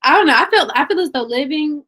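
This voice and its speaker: Young American woman host, pitched a touch high, easygoing questions over a video call. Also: quick pace, slight background noise. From United States. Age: young adult. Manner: relaxed, warm affirmations, asks questions. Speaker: interview host. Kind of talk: casual interview.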